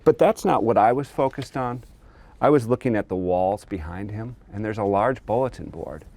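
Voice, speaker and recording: A man speaking as an interviewed historian, explaining in an earnest, measured way. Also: on the low side, expressive, a little mellow. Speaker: historian and author being interviewed.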